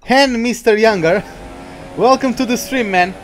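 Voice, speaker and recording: A man's voice: very high voice, measured pace, soft background music. A young man, chatting with viewers while streaming a racing game. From Portugal. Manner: chatty, reads out viewer names, reacts to the race. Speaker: gaming streamer.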